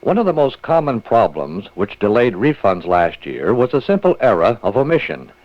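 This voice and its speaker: A man's voice: lowish voice, sing-song, moderate pace. A man reading a scripted public service announcement as a radio announcer. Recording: muffled old radio broadcast.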